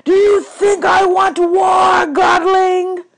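A woman's voice: mid-range voice, expressive, a crowd in the background, noisy background, natural voice. Lady doing a pissed off underworld god guy from a kids book, bossy, ranting, leans hard on every word. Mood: furious bellowing. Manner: imperious, ranting, emphatic drawn-out words. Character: angry, aggrieved male god of the underworld.

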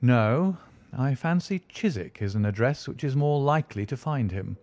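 Measured male detective, dry wit, quietly sure of himself, read by a male narrator. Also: medium pitch, dry recording, natural voice. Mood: calm, assured. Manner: calm, dry, quietly confident. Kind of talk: audiobook character voice.

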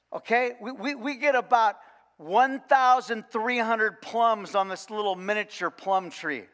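Homem de voz aguda pregando, sala com eco. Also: male voice, sits high. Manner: folksy, animated, many repeated words and "okay?" asides. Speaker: pastor. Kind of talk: sermon. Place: large church sanctuary, indoors. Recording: long reverb of a church hall.

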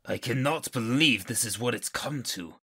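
An actor playing a grumbling, uneasy, skeptical henchman with a raspy voice in an audio drama. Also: mid-range voice, expressive, normal speed, bright, forceful tone, heavily acted.

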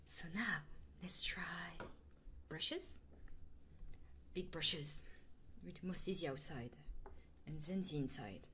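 A woman streaming ASMR, chatting casually and playfully with her viewers in a soft, intimate style. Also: sits low, expressive, slow pace, pauses often, slight background noise.

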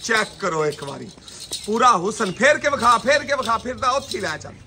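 Man, middle-aged, very high bright voice, showy livestock pitch outdoors, faint farm noise. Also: very animated, a little fast. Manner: showy, upbeat, directs handlers. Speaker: livestock video host. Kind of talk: sales pitch showing cattle. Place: outdoor cattle farm yard.

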